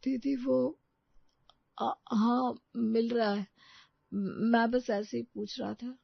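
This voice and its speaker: A meek young college lad from a cartoon story, acted by a woman, timid, downhearted and halting. The voice has some creak, on a dry track. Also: on the high side, fairly even intonation, dry recording, highs cut off, pitched above the performer's own voice, exaggerated voice.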